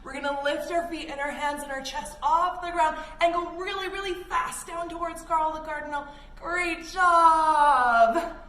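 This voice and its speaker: A woman's voice: high voice, steady tone, a little slow, bright, forceful tone. A woman from Canada guiding children through yoga poses step by step, with simple, encouraging instructions. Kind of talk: guided kids' yoga class following a story. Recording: echoey room, distant mic, background noise.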